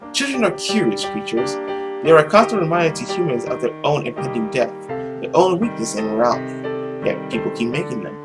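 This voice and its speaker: A man's voice: mid-range voice, natural voice. A man playing a world-weary immortal demon who poses as a nobleman, musing theatrically through his journal in a visual novel. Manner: brooding, musing, theatrical diary reading.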